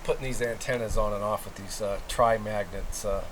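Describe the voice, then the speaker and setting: A man's voice: lowish voice, fairly even intonation, moderate pace, background noise. A man giving a casual, rambling hands-on demonstration as he explains gear, in an informal manner. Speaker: presenter of CB radio antenna tests.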